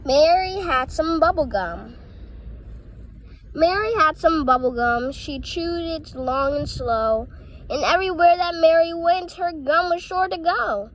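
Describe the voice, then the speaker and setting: A woman reading playful rhymes and tongue twisters aloud in a halting way, often stumbling over words. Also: very high-pitched, rough recording. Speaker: reader of children's poems.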